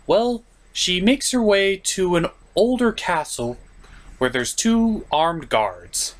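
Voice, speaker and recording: A man delivers a comedic film review with lots of swing in his pitch. His manner is sarcastic and exasperated, and he reacts to the story as he tells it. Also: big pitch swings, slow pace.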